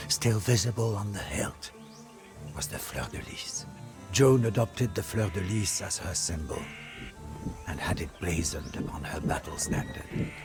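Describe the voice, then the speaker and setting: A weary, loyal soldier chronicling a medieval war for a video game. His voice is very low and creaky, with a breathy edge. He tells it gravely and theatrically, at a measured tread, over prominent music. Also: full character voice. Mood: grave, stirring. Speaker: narrator. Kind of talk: dramatic scripted storytelling for game cutscenes.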